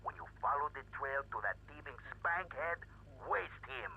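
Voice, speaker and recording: Bombastic gangster on a tinny phone. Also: male voice, a little high, moderate pace, gravelly. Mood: indignant, theatrical. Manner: pompous, theatrical, florid. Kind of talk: video game phone-call monologue. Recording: tinny, noisy phone line.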